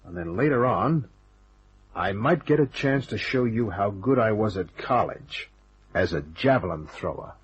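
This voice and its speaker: A man's voice: on the low side, expressive, measured pace. An actor playing a tough, wisecracking detective hero, telling his story in clipped, wry phrases in an old-time radio crime drama. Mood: tense, terse. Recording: dull, noisy vintage radio recording.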